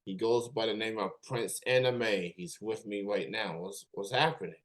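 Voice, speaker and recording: An upbeat man hosts a casual interview, his voice sitting a little low. He asks his questions loosely, with plenty of ums and restarts. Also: normal speed. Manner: upbeat, filler-heavy questions. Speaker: podcast host.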